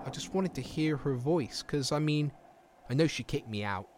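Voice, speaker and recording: A man's voice: on the high side, lively intonation, normal speed, close to an everyday voice. Actor as a bitter homeless man, street noise. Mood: bitter, resigned. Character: bitter, plain-talking young homeless man sleeping rough. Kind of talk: naturalistic audio drama monologue. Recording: clear outdoor traffic and street noise.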